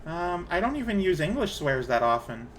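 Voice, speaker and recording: A man's voice: sits high, big pitch swings, normal speed, slight background noise, rough recording. A man streaming a game, chatting casually in a rambling way and often restarting his sentences. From Canada. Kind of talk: casual chat while gaming.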